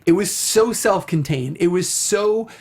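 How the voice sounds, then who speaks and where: A man's voice: high voice, lively intonation, unhurried, a little mellow. Guy hosting a show talking games, really into it, punches his words. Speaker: talk show host. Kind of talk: casual chat discussing a video game.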